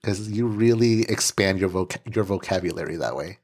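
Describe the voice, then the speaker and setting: Deep-voiced podcast guy, super casual, lots of "like"s, laughs a bunch. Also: husky. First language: English. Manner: relaxed, many fillers, quick laughs. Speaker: podcast host. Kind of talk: casual chat. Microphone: podcast microphone.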